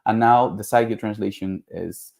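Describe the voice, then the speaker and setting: A man's voice: fairly low pitch, moderate pace, gravelly, a little mellow. A man, a guest author and translator, speaking casually and hesitantly, often searching for words. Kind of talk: casual conversation.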